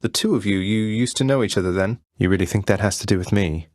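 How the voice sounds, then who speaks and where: A man playing a young underworld prince in a video game, friendly, courteous and gently wry. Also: on the low side, fast talker, no echo, studio quality, natural voice. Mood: curious, wry.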